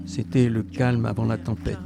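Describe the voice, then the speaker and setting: A man's voice: fairly low pitch, level tone, normal speed, vocal fry. A man playing a former Resistance fighter, narrating his memories reflectively in a scripted audio drama. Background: music.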